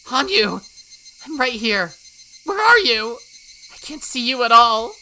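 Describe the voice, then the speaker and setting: A woman voicing a frightened young girl who shouts frantically and makes demands. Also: a little high, sing-song, a little slow, voice pushed up, exaggerated voice, low-quality recording. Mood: frantic.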